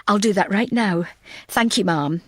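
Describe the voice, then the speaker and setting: A woman's voice: mid-range voice, normal speed, no echo, studio quality, natural voice. A woman voicing a keen, stern young female detective constable who reports earnestly, in an audiobook.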